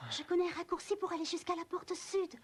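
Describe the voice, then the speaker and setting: High-pitched, devoted young heroine. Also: female voice, steady tone, quick pace, slight background noise. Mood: confident. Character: young woman, bold, devoted, eager to join outlaws. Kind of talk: French cartoon dub acting. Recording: loud music behind the voice.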